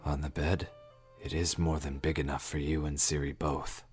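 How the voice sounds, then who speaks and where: Man acting a gruff, very deep-voiced monster hunter. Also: little pitch movement, normal speed, soft background music. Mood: awkward, insistent. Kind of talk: audio drama acting.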